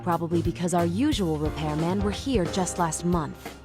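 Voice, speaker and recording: A woman's voice: fairly low pitch, normal speed, over music, natural voice. A woman playing a stern, suspicious schoolteacher in a video game, formal and pointed in manner. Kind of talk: video game cutscene dialogue.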